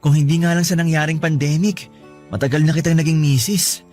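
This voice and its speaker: An actor with a Filipino accent playing an earnest, devoted groom-to-be in a radio drama. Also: male voice, fairly high pitch, steady tone, a little fast, darkish tone, soft background music, lightly acted. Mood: loving, earnest. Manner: affectionate, earnest.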